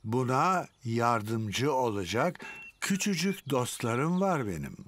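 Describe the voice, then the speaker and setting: Elderly cartoon grandpa pig, slow, lively intonation, hearty, patient, kindly. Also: male voice, mid-range voice, slight background noise. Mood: jolly, patient. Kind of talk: children's cartoon dubbing.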